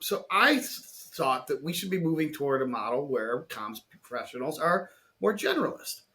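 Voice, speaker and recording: Male interviewer, slightly high voice, articulate and measured, conversational podcast hosting. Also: big pitch swings, bright, forceful tone.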